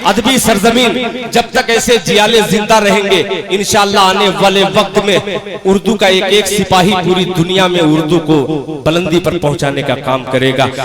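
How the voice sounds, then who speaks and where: A man, a poet reciting devotional verse on stage, chanting slowly and holding long notes into a microphone. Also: high-pitched, fairly even intonation, a loud crowd. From India. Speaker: poet at a religious poetry gathering. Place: outdoor stage at a night gathering.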